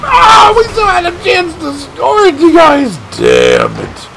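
A man streaming a video game live, giving excitable play-by-play with shouted catchphrases. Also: very high voice, slow pace, creaky, a little hiss or hum. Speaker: gaming streamer. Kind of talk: live gameplay commentary.

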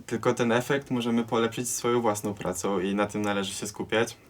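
Young guy with a lowish voice, thinking it through and explaining. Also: flat delivery, fairly quick. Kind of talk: reflective discussion.

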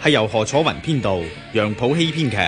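A male announcer delivers formal radio credits in Hong Kong Cantonese. His pitch is medium with lively intonation, and loud music plays behind him. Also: a little fast.

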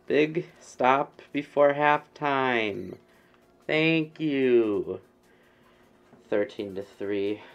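A young man commentates a game in a casual, joking way, looping the same phrases again and again. His voice is slow and gravelly. The sound is dull, lo-fi stream audio from a bedroom. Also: medium pitch, soft background music. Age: young adult. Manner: repeats phrases over and over. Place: bedroom, indoors.

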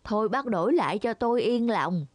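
A woman's voice: a little high, expressive, fairly quick, no echo, studio quality. Woman voicing a scheming, sweet-talking cartoon cow. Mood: wheedling, scheming. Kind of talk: children's cartoon fable dubbing.

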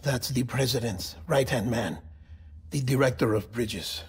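An actor playing a solemn, polite medical official in a video game, formal, deferential and gently grave. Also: male voice, medium pitch, unhurried, husky. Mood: matter-of-fact. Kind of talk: cinematic video game acting.

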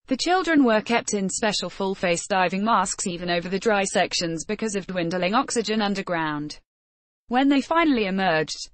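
Female news voice-over, steady read, dry close recording. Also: medium pitch, no room sound. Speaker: news reader.

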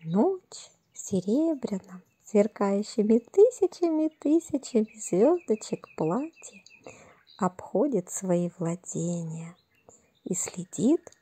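A woman telling a bedtime fairy tale gently and soothingly, recorded outdoors in a garden on a camera at a distance. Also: mid-range voice, big pitch swings, breathy edge. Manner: gentle, soothing, unhurried storytelling. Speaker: storyteller and author.

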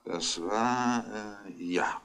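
An actor playing a chatty, wryly amused country doctor who thinks aloud and lists details, in a 1960s radio crime drama. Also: male voice, lowish voice, very slow, a bit bright. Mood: matter-of-fact, musing.

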